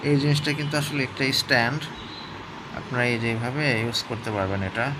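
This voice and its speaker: A man's voice: medium pitch, quick pace. A man gives a casual, hands-on product review, explaining step by step and often checking in with his listeners. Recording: clear background noise, urban ambience.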